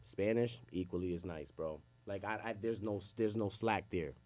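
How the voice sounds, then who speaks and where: A Puerto Rico-born man, a rapper, chatting loosely in street slang as an interview guest, his sentences trailing off low. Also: moderate pace, darkish tone. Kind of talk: casual interview chat.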